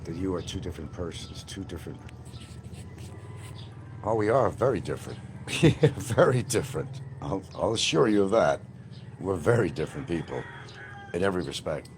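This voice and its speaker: A man with a very deep, low voice talks bluntly on a phone livestream from outdoors beside a field. He rambles and repeats himself as he answers commenters. Faint outdoor sounds and birds drift in behind him. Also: expressive, moderate pace, long pauses, a little hiss or hum. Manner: blunt, combative, repetitive. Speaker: livestream host.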